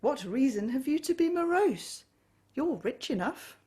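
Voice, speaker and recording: A woman voicing a cheerful, warm-hearted young man who speaks brightly and pleads gently. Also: high voice, sing-song, a little slow, pitched above the performer's own voice.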